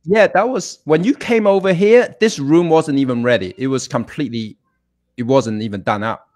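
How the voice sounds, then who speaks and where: A man's voice: medium pitch, moderate pace, darkish tone. A middle-aged man chatting as a guest over a video call, joking and chuckling. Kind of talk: casual video call chat.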